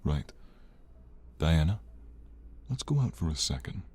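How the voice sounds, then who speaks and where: A man playing a tender, devoted mobster husband in an ASMR romance roleplay, speaking in a hushed, earnest, husky, gravelly voice. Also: rumbling low, big pitch swings, heavily acted. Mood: awed, happy. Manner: earnest, sentimental, hushed, unhurried.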